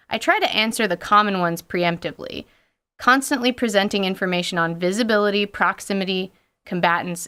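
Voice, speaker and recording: A woman presenting scripted gaming commentary as a host. Her delivery is playful and theatrical, leaning on emphasis and mock-dramatic asides, at an ordinary mid pitch for a woman. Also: fairly bright tone, clear, resonant tone. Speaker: podcast host and game master.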